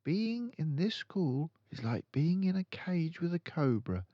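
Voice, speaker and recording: A clever little schoolgirl from a storybook, voiced by a man, high and lilting. She is sure of herself and explains things emphatically, with a knowing, matter-of-fact air. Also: sing-song, unhurried, dry recording, dull audio.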